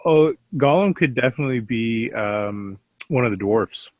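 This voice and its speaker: A man's voice: medium pitch, animated, moderate pace, darkish tone, no room sound. A man chatting casually as a panelist on a group show. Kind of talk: casual chat.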